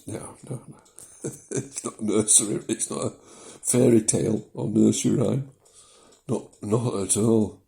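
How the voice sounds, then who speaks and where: Slow, mellow older Yorkshire man. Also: on the low side, steady tone, vocal fry. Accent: softened South Yorkshire. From South Yorkshire, England. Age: 70s. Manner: rambling, hesitant, with many ums and small laughs. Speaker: vlogger. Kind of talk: casual personal vlog. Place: living room at home. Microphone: mobile phone.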